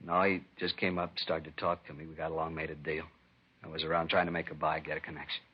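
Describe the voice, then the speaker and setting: Casting: sick, whining arrested addict for vintage radio crime drama, low and quick, slangy, defensive, cornered. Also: male voice, flat delivery, husky. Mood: wary. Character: sick, evasive, pleading male narcotics addict under arrest. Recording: dull, lo-fi vintage radio recording.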